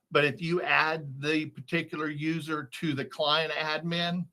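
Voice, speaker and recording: A man giving a step-by-step, matter-of-fact software walkthrough as a support trainer on a video call. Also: on the high side. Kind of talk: screen-share software walkthrough, instructional.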